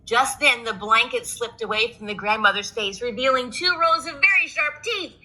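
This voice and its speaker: A woman's voice: a little high, normal speed, pushed and bright, rough recording. A woman reading a children's story aloud as a storyteller.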